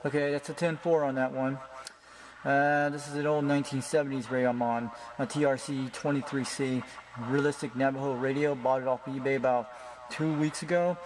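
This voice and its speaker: A man with a mid-range voice talks like a hobby CB radio operator. He is informal and friendly, dropping in radio slang. Clear background noise runs through the home recording. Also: medium pitch, steady tone, brisk. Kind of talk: casual hobbyist chat and radio talk.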